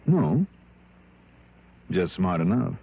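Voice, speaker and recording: Casting: confident American private investigator, lively low voice, vintage radio drama sound. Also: male voice, slow pace, vocal fry, dull audio, very noisy, exaggerated voice. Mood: pressing, accusing. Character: shrewd, confident male insurance investigator, radio detective hero. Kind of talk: 1960s radio drama acting and first-person narration. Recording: band-limited old radio recording with music.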